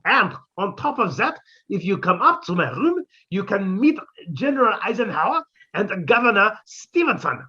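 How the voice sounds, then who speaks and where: Woman doing an eccentric old professor, pompous, husky voice, swings up and down, muffled lo-fi sound. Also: medium pitch, a bit bright, exaggerated voice. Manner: florid, pompous, theatrical delivery. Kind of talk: 1950s radio comedy acting.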